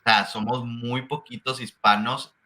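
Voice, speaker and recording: A man working as a franchise consultant, hosting a casual interview and talking with frequent restarts that turn into rapid rhetorical questions. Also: medium pitch, little pitch movement, bright, forceful tone.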